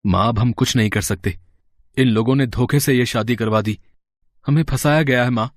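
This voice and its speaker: A man's voice: mid-range voice, normal speed, dry recording, close to an everyday voice. Man acting an anguished, bitter young son. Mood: despairing. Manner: dramatic, reproachful, despairing.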